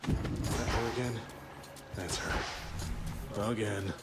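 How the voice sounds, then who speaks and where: A man's voice: fairly low pitch, slow pace. A man playing a vain, aloof dead youth in a video game, offhand and languid. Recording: loud music behind.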